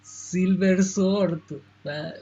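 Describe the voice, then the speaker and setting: A man's voice: high-pitched, steady tone, brisk, warm tone, husky, dull audio. A Persian-speaking man giving casual commentary as he streams a game. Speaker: gaming streamer.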